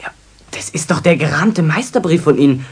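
Casting: teenage Bavarian apprentice, radio play, polite, animated, higher voice with husky grain. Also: male voice, a little fast. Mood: surprised. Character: polite, good-natured teenage apprentice boy. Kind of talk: 1970s children's radio play acting.

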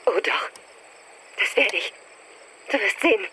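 A woman's voice: mid-range voice, expressive, measured pace, sharp tone, slight background noise, low-quality recording. An actress in a German radio drama playing a volatile, wounded female film star, intimate and theatrical. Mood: furious, defiant.

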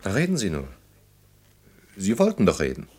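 An actor playing a skeptical, casually condescending older suitor in an intimate German radio drama, wry and needling. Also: male voice, fairly low pitch, huge pitch swings, normal speed, a little mellow, slightly rough, full character voice. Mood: teasing, curious.

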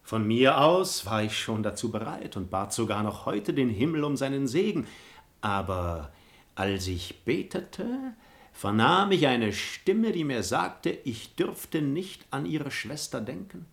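A man's voice: mid-range voice, very animated, measured pace, creaky. An actor playing an arrogant, hypocritical libertine nobleman in a classical radio play, declamatory and falsely pious. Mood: sanctimonious. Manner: declamatory, feigned piety.

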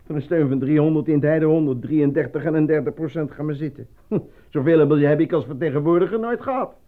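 Thin phone-like sound, male actor as tired unemployed husband, slightly high voice, colloquial, skeptical, radio play. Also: a little fast, lightly acted. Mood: wry, resigned. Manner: colloquial, hesitant, wry. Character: weary, skeptical unemployed husband, former salesman. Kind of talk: naturalistic radio drama acting.

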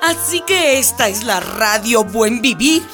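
Shrill, outraged churchgoing lady. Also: lively intonation, measured pace, over music. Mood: indignant, scornful. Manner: indignant, preachy, sanctimonious. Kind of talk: radio drama acting.

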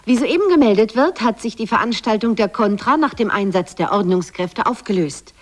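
A cheeky radio traffic announcer, with a fairly high voice and very lively intonation. She sounds like a studio presenter in a radio play. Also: brisk. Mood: brisk, official.

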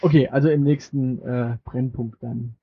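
A man co-hosting a casual podcast, talking in a wry, halting way with many fillers, and acting as game master for a short role-play game. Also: medium pitch, fairly even intonation, creaky, slightly rough, muffled recording.

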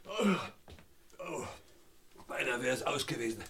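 An actor playing a defeated crook in a radio crime play, gasping for air. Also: male voice, mid-range voice, animated, slow pace, a bit bright, far from the mic.

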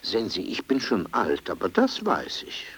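An actor playing a courteous, stubborn old survivor in a literary radio play, halting and repeating his phrases. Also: lowish voice, huge pitch swings, a bit bright, slightly rough, heavily acted. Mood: courteous, reserved. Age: older.

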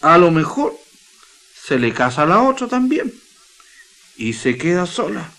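An actor playing an earnest, sentimental young Chilean miner in a radio drama, speaking in a folksy, emotional way. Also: male voice, fairly high pitch, sing-song, measured pace, vocal fry, fairly bright tone, heavily acted. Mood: homesick, troubled. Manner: folksy, emotional colloquial speech.

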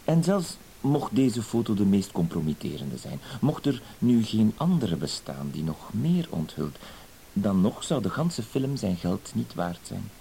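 A man's voice: on the low side, big pitch swings, measured pace, creaky, warm tone, full character voice, low-quality recording. An actor playing a fussy, hesitant, apologetic blackmailer in a radio play, circling around his point with verbal tics. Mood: fussy, insinuating. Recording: hissy old radio play recording.

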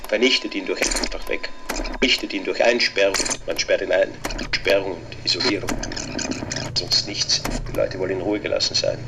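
Deep-voiced, obsessive middle-aged scholar. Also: male voice, steady tone, moderate pace, heavy background noise. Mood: bitter, agitated. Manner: obsessive, repetitive, pedantic monologue. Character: obsessed, bitter middle-aged male scholar, contemptuous of experts. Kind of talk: literary radio drama monologue.

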